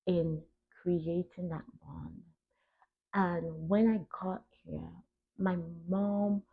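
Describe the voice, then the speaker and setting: A young adult woman from Cameroon talks reflectively and earnestly as a podcast host, stressing her points and pausing between short phrases. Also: fairly low pitch, creaky, breathy edge. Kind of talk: reflective personal talk. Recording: tinny phone-like narrow band.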